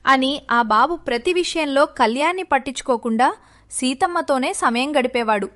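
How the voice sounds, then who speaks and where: A woman's voice: sits high, expressive, normal speed, a bit bright. A woman reading a scripted children's moral story as its narrator.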